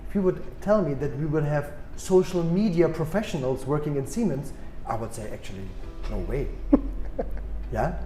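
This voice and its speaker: A man in his forties with a German accent, answering interview questions thoughtfully with many pauses and restarts. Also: a little high, lively intonation, dark tone, echoey room, faint music behind. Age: 40s. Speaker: guest executive.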